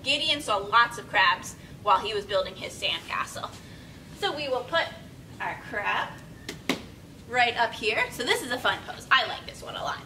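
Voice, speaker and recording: A woman's voice: animated, moderate pace. A young woman teaching yoga to small children, giving simple, encouraging step-by-step directions as she demonstrates, recorded on a camera across a room. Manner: demonstrating poses while talking. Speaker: children's yoga storytime instructor. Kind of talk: instructional talk for young children. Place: small indoor room with padded steps. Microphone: camera several metres away. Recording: distant camera mic, rumbly background noise.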